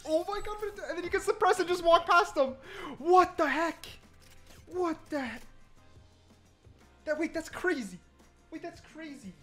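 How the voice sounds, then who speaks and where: A man doing excited gameplay commentary, thinking aloud and often repeating himself. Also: extremely high pitch, measured pace, long pauses, faint music behind. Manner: repetitive, exclamatory, thinking aloud. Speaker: gaming content creator testing game mechanics.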